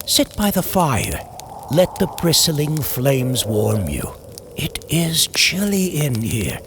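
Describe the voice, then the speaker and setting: A man's voice: fairly high pitch, noisy background. Ominous, dramatically swooping male horror storyteller. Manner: ominous, drawn-out, dramatic delivery. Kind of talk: theatrical scripted horror narration, old-time radio style. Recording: music under the voice.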